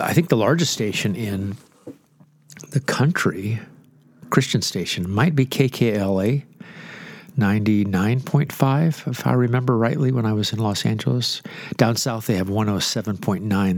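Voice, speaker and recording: A man's voice: on the low side, breathy edge, dry recording, studio quality. Congested man in loose radio chat. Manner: rambling, joking, self-deprecating. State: stuffy, congested nose. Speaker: radio show host and pastor. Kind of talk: casual off-the-cuff radio chat.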